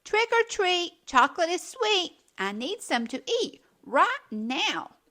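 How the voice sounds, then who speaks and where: A woman's voice: very high-pitched, very animated, slow, pushed and bright, pitched above the performer's own voice, exaggerated voice. A woman voicing a pushy, mysterious little witch girl in a children's storybook, insistent and exclamatory. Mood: bossy chanting.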